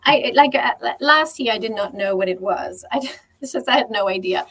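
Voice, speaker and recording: A French-speaking Belgian woman in her forties talks through her story as a podcast guest, with a rather high voice. She is eager and a bit halting, circling back with "um" and restarts as she gathers her thoughts. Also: fairly bright tone. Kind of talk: casual interview chat.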